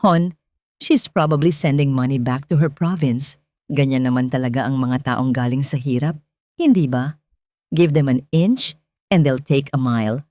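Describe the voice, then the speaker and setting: A woman's voice: a bass voice, moderate pace, dark tone, no echo, studio quality, voice pushed down. A woman voicing a scheming rich female villain in an audio story, condescending with feigned hurt, mixing Tagalog and English. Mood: smug contempt. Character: scheming, two-faced rich woman villain playing victim.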